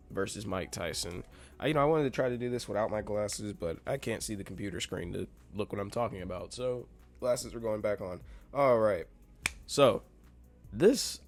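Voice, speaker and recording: Congested, rambling man host. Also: lowish voice. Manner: rambling, restarts, animated emphasis. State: congested, a bit nasal. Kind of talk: casual solo commentary.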